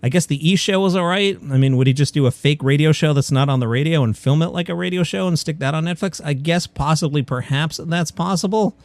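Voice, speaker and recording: Guy doing comedy news podcast, kinda higher voice, wry opinionated asides, very dry sound. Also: a little fast, few pauses, no echo. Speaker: podcast host. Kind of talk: comedy news commentary, partly scripted.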